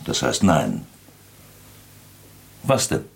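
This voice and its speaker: An actor playing a grave, hesitant man in a radio drama, probing and halting. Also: rumbling low, wildly swooping pitch, gravelly, heavily acted. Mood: guarded, probing.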